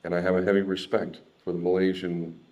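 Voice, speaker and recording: A man with an American accent speaks at a talk about his own life. His voice sits low and moves very little in pitch. He talks haltingly and reflectively, repeating words as he finds his way. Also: little pitch movement, a little mellow, muffled recording. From Southern California. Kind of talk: personal testimony in an unscripted talk.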